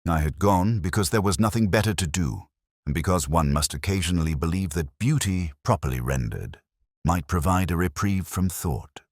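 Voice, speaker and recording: A man narrating a first-person literary mystery as a scripted audiobook, with a measured, literary delivery. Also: low voice, lively intonation, dry recording, clean studio sound.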